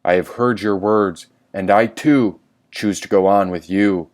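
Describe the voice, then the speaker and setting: A man as a low, solemn warrior opossum. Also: measured pace, darkish tone, lightly acted. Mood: solemn, cryptic. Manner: solemn, cryptic. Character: mysterious old-warrior male opossum, solemn and enduring. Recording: echoey, long reverb.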